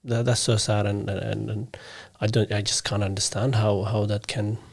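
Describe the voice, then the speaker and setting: A man's voice: fairly low pitch, flat delivery, normal speed, gravelly. A man hosting a podcast, speaking earnestly in a loose, conversational way and often checking for agreement. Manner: earnest, many fillers and repeats, asks for agreement. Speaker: podcast host. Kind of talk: casual interview conversation.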